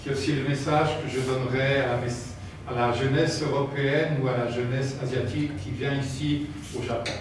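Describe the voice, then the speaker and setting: Middle-aged man from France, measured hesitant speaker; distant mic, very roomy, noisy. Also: medium pitch, steady tone. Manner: slow, measured, hesitant with "euh" fillers. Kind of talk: formal talk with pauses for interpreting. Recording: echoey room from far off with noise.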